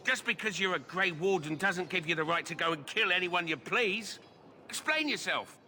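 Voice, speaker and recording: Sharp, indignant male guard. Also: high voice, expressive, moderate pace, slight background noise, heavily acted. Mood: outraged. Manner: scolding, blunt, colloquial. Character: indignant camp guard demanding answers. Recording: faint game ambience behind.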